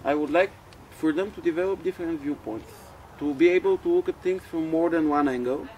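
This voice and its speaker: A man from Bulgaria, a project coordinator, answering interview questions in a measured, reflective way. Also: a little high, a little mellow, a little hiss or hum, rough recording.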